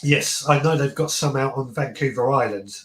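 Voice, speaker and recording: A man's voice: mid-range voice, moderate pace. A man hosting a phone-in show with casual gardening chat, agreeable and a little hesitant, often repeating words. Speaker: podcast host taking phone-ins. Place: garden shed. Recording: echoey, roomy sound.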